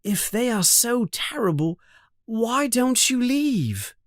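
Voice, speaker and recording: A woman's voice: fairly high pitch, sing-song, slow pace, dry recording, studio quality, voice pushed up. A woman voicing a polite, bewildered and unhappy schoolboy wizard in a storybook, with an earnest, questioning manner. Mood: polite, concerned.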